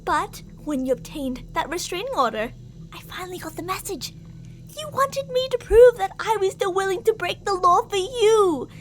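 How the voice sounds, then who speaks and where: A woman's voice: very high-pitched, animated, moderate pace, a little hiss or hum, poor audio. A woman playing an obsessive, delusional stalker in an ASMR roleplay, theatrical and sweetly menacing, with jealous, volatile endearments and reproaches. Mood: frustrated, fervent.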